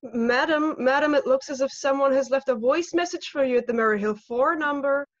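A woman's voice: high-pitched, a little fast, highs cut off, lightly acted. A young woman playing a formal, falsely polite telephone operator in a drama. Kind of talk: radio-play acting over video call.

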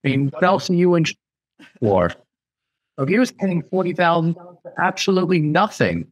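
A man's voice: a little high, very animated, fairly quick, no echo. A man, an insurance agent guest, talks earnestly and with emphasis in an interview. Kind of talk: conversational interview.

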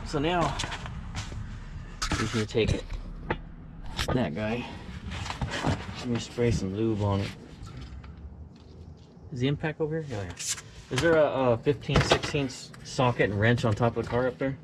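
A young man in a garage at night, casually explaining, step by step, how he lowers his car, picked up by a camera mic on the floor. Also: a little slow, pauses often. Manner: laid-back, laughs easily, explains step by step. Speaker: car vlogger working on his car. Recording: tool clatter and ratchet noise.

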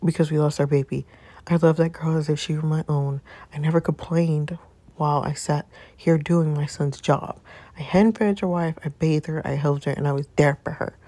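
A woman's voice: deep voice, fairly even intonation, normal speed, dark tone, dry recording. A woman voicing a fierce, protective mother scolding her grown son, indignant and reproachful, in a dramatic story reading. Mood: bitter reproach.

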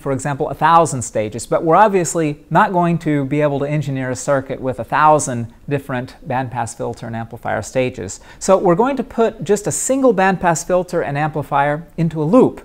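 Young male professor, calm step-by-step lesson, lapel mic in a lab. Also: on the high side. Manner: calm, methodical, asks and answers own questions. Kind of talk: explaining with drawings. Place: electronics lab, indoors.

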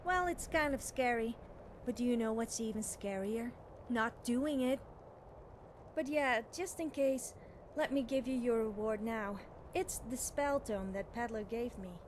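A woman's voice: on the high side, unhurried, a little hiss or hum, natural voice. A woman voicing an eager, scatterbrained young would-be witch in a video game, chatty and self-doubting. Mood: eager, nervous. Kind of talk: video game character dialogue.

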